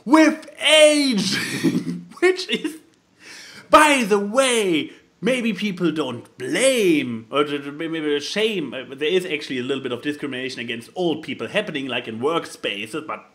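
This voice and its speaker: A podcast co-host with a widely swooping, animated voice in a middle register. The delivery is casual and theatrically emphatic, with halting patches and stumbles over words. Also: mid-range voice. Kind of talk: casual chat, reading out and commenting.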